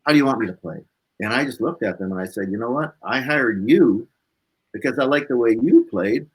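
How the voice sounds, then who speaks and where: A man with a husky voice, a musician being interviewed, talking casually and reflectively and repeating points for emphasis. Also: mid-range voice, lively intonation, fairly quick, poor audio.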